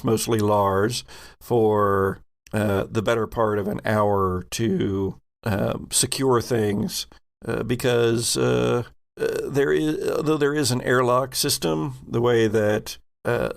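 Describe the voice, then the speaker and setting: A man running a tabletop game as game master, improvising narration with halting, deliberate pauses mid-phrase. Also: lowish voice, creaky.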